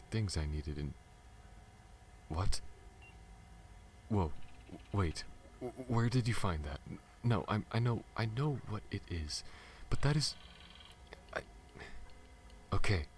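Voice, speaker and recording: A man playing a guarded, protective alien smuggler pilot in an ASMR audio roleplay, earnest and halting, speaking to the listener. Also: low-pitched, animated. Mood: caring, relaxed, then flustered, defensive. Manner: halting, stammers when flustered, earnest. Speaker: voice actor. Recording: audible background noise.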